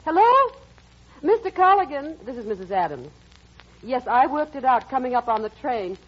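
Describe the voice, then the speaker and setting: A woman's voice: high voice, big pitch swings, moderate pace, slightly rough, full character voice. A woman playing a poised, tender and anxious businesswoman in an old-time radio drama, with emotive, theatrical delivery. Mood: brisk, businesslike. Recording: muffled, noisy vintage radio recording.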